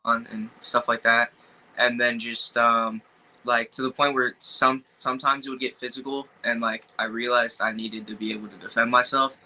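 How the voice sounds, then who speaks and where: A young man, a fighter guest on a podcast, talking casually and haltingly with many fillers. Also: medium pitch, little pitch movement, sharp tone, low-quality recording. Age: young adult. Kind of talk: casual interview chat.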